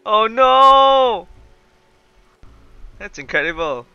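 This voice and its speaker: A man's voice: high-pitched, sing-song, extremely slow, clean tone, no echo, lo-fi sound. A man doing casual livestream game commentary in a playful, exclamatory way, sometimes reading dialogue aloud. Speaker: streamer, virtual avatar host. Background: game music.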